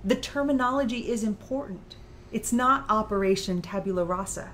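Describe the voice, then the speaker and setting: A probing, composed female author acted by a woman lectures and challenges with precision at a middling pitch. Insistent audiobook dialogue, recorded with noticeable background noise. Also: moderate pace, fairly bright tone, natural voice.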